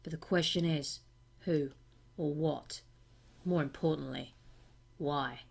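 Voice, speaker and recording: A woman playing a bewildered, revived astronaut in a sci-fi monologue, wondering and pausing often. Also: sits low, vocal fry, darkish tone, breathy edge, voice pushed down, exaggerated voice.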